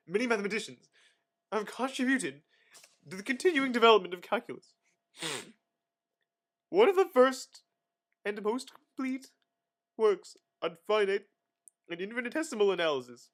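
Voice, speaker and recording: Young male reader, higher-pitched, forceful bright tone, overdramatic delivery of reference text. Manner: theatrical, exaggerated emphasis with sudden loud and hushed phrases. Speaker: student reader. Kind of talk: dramatic reading of an encyclopedia article.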